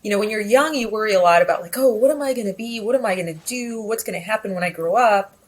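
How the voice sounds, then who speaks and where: A woman's voice: medium pitch, fast talker. A woman who grew up speaking Spanish at home, talking reflectively as an interview guest, with frequent fillers and restarts. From Texas, USA. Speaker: guest, business editor. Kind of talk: conversational interview.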